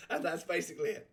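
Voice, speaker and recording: A man's voice: a little high, fairly bright tone. A man hosting a casual recap chat, rambling and chuckling as he restarts his sentences, his voice just recovering from a sore throat. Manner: rambling, chuckling, self-interrupting. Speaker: host.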